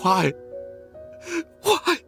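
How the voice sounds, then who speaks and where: A man's voice: very slow, over music. A man playing a distraught, crying grown son pleading for his mother in a video game. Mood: anguished.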